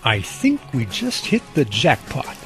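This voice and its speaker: A man's voice: medium pitch, wildly swooping pitch, unhurried, over music. A man acting as a goofy, teasing and earnest young husband in a radio drama, with an exaggerated delivery. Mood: upbeat.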